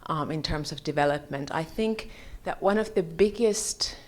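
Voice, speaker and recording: A woman's voice: deep voice, normal speed, a little hiss or hum. A woman from Finland speaking as a government advisor, giving an informal, hedging reflection at a conference.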